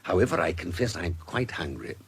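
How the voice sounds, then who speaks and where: An actor with a British accent playing an urbane, shrewd medico-legal investigator in a radio drama, speaking with courteous, measured formality. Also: male voice, sits low, sing-song, gravelly, a little hiss or hum, heavily acted. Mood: genial, probing. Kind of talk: period radio drama acting.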